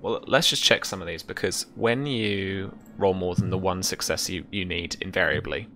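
A young man casually narrating as game master and explaining rules, in a hesitant, halting way, on a video call. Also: low-pitched, pushed and bright, soft background music. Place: indoor room at home, over video call. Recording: faint background noise.

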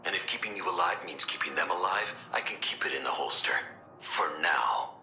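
A hostile, tough army officer in a video game. His voice is rock-bottom deep and gravelly, with a forceful edge. He speaks in menacing, scornful bursts in a roomy space. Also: lively intonation, brisk, a little hiss or hum, exaggerated voice. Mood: angry. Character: gruff, tough, hostile male military officer. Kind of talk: dramatic video game voice acting.

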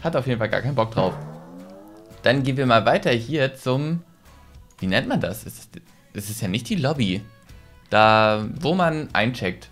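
A young man hosts a playful game tour. His mid-range voice moves up and down with lots of animation. He is close to the mic in a home room, with music playing behind him. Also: medium pitch. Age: young adult. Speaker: gaming video host. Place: small room at home, indoors. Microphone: desk microphone on boom arm, close. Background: background music.

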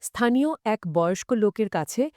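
A woman's voice: medium pitch, lively intonation, normal speed, warm tone, no room sound, studio quality. A woman co-hosting a conversational story discussion, retelling events dramatically and asking inquisitive questions. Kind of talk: scripted-sounding conversational storytelling.

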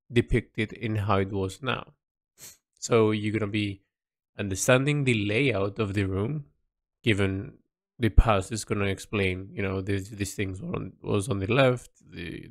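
Man, low pitch, halting audio log musing, dry recording. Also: dry recording. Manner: halting, thinking aloud with many restarts. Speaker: creator discussing his illustrated story project. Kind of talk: casual spoken audio log.

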